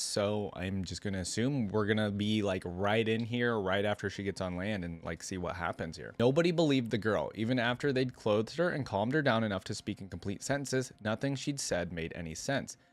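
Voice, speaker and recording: A man with a fairly low voice in a very dry, close recording. He hosts a reaction video, moving between reading a story aloud and offhand commentary, thinking out loud in casual slang. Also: dry recording.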